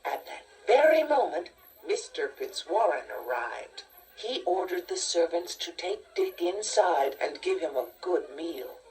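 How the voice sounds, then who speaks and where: A woman reading a scripted children's story in a measured, expressive storytelling manner. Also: lowish voice. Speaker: storybook narrator. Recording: distant-sounding cassette tape transfer. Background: music.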